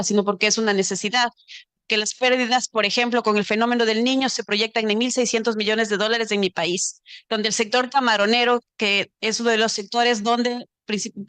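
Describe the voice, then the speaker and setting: Ecuadorian woman on a panel, higher voice, dry close sound, earnest, restarts here and there. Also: a bit bright, dry recording. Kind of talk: panel discussion on climate finance. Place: conference pavilion.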